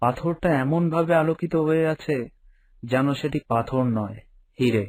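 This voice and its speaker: A young man narrating a horror story in the first person, in a steady, earnest storyteller's way. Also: on the high side, unhurried, slight background noise. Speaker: narrator and hero.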